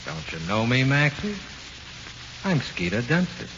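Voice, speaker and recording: A man playing the taunting ghost of a dead gangster in 1940s radio horror, speaking calmly with sly menace. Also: medium pitch, measured pace. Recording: hissy, crackling, muffled 1940s radio recording.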